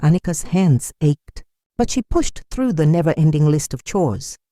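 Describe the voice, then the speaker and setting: A storyteller with a soft, mellow tone narrates a scripted tale in a measured, dramatic way. The pitch moves expressively, and the sound is close and dry. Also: sing-song, no echo.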